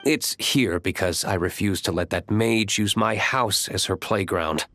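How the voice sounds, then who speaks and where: Casting: brooding, reluctant young fantasy game character, fairly low pitch, dry deadpan delivery. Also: male voice, a little slow. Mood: dry, matter-of-fact. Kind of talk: video game voice acting.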